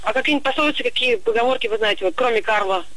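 A woman's voice: a little high, racing, fairly bright tone, rough recording. A woman interviewing a job applicant, skeptical and amused at his answers. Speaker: call center hiring manager.

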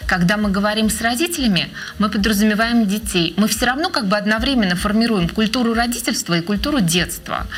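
A woman being interviewed as a researcher of children's radio, speaking in a measured, thoughtful way with frequent hesitation fillers. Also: mid-range voice. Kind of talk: interview answers.

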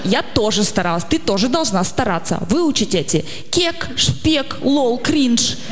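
A woman in her forties doing a chatty, self-mocking stand-up comedy monologue. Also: fairly high pitch. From Russia. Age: 40s. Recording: long hall reverb, audience noise.

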